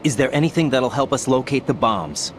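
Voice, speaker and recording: A mid-pitched young man's voice from a game actor, heard over clear noise. He plays a rookie soldier, earnest and hesitant, often questioning and unsure of himself. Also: expressive, a little fast, lightly acted. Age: young adult. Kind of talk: video game voice acting.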